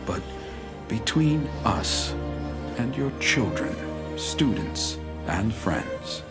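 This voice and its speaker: A man's voice: mid-range voice, very animated, extremely slow, loud music behind the voice. A man playing an aging, solemn adventurer in a video game, delivering a grave, poetic monologue with weary hope. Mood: solemn, hopeful. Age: older.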